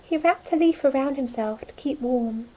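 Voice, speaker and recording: A woman speaking as a nursery teacher, telling a story to young children in a simple, expressive way. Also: high voice, moderate pace, slight background noise, low-quality recording.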